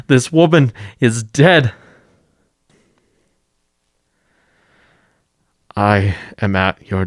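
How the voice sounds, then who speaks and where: An actor playing a gentle, protective fugitive convict who pleads with quiet dignity in a radio drama. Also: male voice, medium pitch, no echo, studio quality. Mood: anguished.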